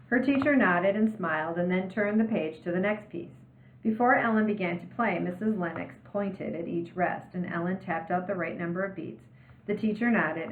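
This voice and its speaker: A woman with a slightly low voice reads a children's novel aloud. Her delivery is expressive storytelling. The recording is roomy and somewhat muffled, with limited frequency range. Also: a little hiss or hum.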